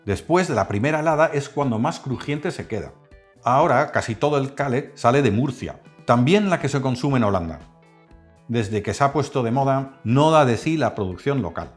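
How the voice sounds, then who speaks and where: A man from the Netherlands, hosting a cooking channel and calmly explaining a recipe step by step. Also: medium pitch, normal speed, soft background music. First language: Dutch. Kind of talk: cooking tutorial voice-over.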